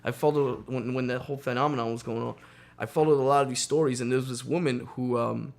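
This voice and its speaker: A man's voice: mid-range voice, fairly even intonation, fast, creaky. A man co-hosting a casual, opinionated talk show, rambling profanely and posing rhetorical questions. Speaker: podcast co-host.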